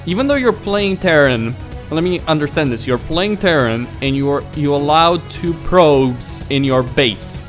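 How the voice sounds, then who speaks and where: A man acts out a cocky young male pro gamer in a visual novel. He is a hot-tempered sore loser, incredulous and emphatic, with his pitch pushed somewhat up. Music plays clearly behind him. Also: lively intonation, measured pace, few pauses. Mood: indignant. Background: background music.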